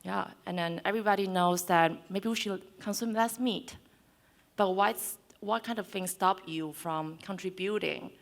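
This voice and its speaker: A woman's voice: medium pitch, moderate pace, creaky. A woman in her twenties from Taiwan, speaking on a conference panel and persuading the audience with direct questions. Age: 20s. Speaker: panelist, co-founder. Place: conference hall.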